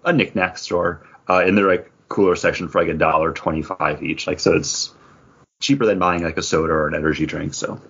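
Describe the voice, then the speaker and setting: A man with a fairly deep, unclear-edged voice talks casually as a podcast co-host. He rambles along conversationally. The recording is a bit dull and lo-fi. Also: fairly even intonation, brisk, a bit bright, slightly rough. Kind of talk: casual chat.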